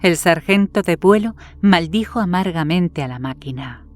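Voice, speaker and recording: Low-pitched woman narrating a horror story. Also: expressive, moderate pace.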